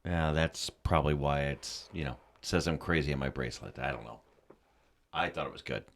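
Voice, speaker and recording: A man's voice: very deep voice, brisk, gravelly. A man who is the show's producer, chiming in with easygoing, playful banter. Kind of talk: casual banter with the host.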